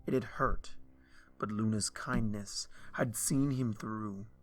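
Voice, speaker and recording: A man reading a dark fan story aloud in a slow, hushed, brooding way. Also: on the low side, fairly even intonation. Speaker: fanfiction reader. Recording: soft music underneath.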